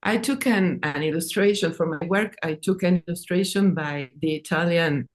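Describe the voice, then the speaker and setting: Woman, English, slightly low voice, halting artist talk, repeated words, informal. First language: not English. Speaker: artist and writer presenting own work.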